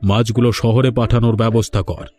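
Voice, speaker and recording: A man's voice: medium pitch, flat delivery, quick pace, a little mellow, lightly acted. A man as a stern, domineering village landlord. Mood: commanding. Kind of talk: cartoon voice acting. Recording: music behind the voice.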